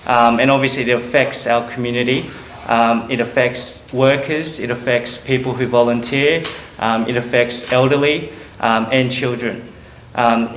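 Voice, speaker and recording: A man's voice: mid-range voice, a bit bright. A man who works as a lawyer, giving panel remarks carefully with frequent ums. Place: community forum venue. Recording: echoey hall, light noise.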